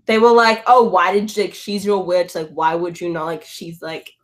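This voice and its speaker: A woman's voice: lowish voice, normal speed. A woman chatting casually and ramblingly about books in slangy, loose phrasing. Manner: filler-heavy, slangy "like" and "dude". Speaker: book discussion panelist. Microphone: video call.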